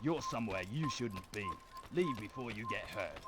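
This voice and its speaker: A man's voice: medium pitch, wildly swooping pitch, moderate pace. An actor playing a hostile, threatening male city guard in a video game, barking at people. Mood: menacing. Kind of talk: video game character acting.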